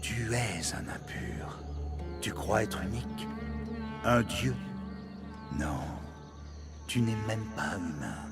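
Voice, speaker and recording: An actor playing a menacing fanatical hunter in a video game, slow and taunting, with gravelly, breathy half-whispers. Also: male voice, lowish voice, very animated, loud music behind the voice, full character voice. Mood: scornful, threatening. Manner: slow, taunting, ominous pronouncements. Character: menacing male hunter, zealous fanatic stalking the impure. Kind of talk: dramatic video game acting.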